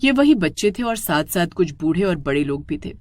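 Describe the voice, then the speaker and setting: Expressive low-voiced woman narrating horror. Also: normal speed, dry recording, clean studio sound. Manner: dramatic, suspenseful storytelling. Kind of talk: scripted horror story narration.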